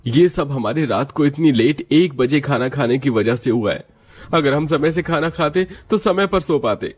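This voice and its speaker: A man's voice: medium pitch, expressive, normal speed, pitched above the performer's own voice, close to an everyday voice. A man voicing a frustrated young office worker who complains to his family in a moral story. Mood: worried, complaining. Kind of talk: animated moral story dialogue.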